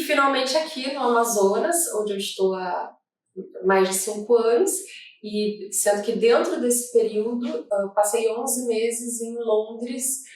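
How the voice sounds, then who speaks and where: A woman federal prosecutor from Brazil, with a Rio de Janeiro accent, giving a measured, thoughtful oral history interview with occasional restarts. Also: on the high side, slight background noise. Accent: Brazilian Portuguese, Rio de Janeiro. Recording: echoey room, mic at a distance.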